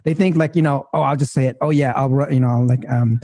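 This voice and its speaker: A man's voice: medium pitch, steady tone, fast talker, warm tone, no room sound. A man in his thirties, a guest in a casual interview, talking in a rambling way full of fillers and restarts. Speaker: guest entrepreneur.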